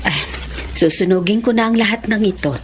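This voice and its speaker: A woman's voice: medium pitch, brisk, background noise, lightly acted. A woman playing an older, devout and practical Filipino mother in a radio drama, with a Filipino accent. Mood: matter-of-fact.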